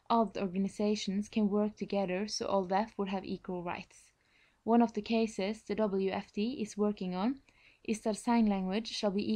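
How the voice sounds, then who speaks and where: Norwegian woman doing a prepared english presentation, norwegian accent, medium pitch. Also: mellow. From Norway.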